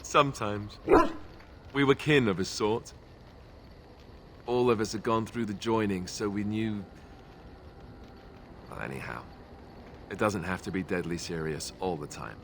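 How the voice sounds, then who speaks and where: An actor playing an affable, boyish young warrior who talks in a conversational, sentimental way in a video game. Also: male voice, fairly low pitch, lively intonation, unhurried, pauses often, fairly bright tone, slight background noise. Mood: amused, nostalgic. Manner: conversational, self-interrupting storyteller. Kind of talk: video game dialogue acting.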